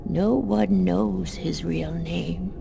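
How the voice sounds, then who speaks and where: A woman playing an ominous ghostly seer in a video game, speaking in a breathy, creaky, portentous way. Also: on the low side, extremely slow, noisy background, exaggerated voice. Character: ghostly older woman giving cryptic urgent warnings. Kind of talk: video game dramatic acting. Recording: music over the voice. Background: loud music.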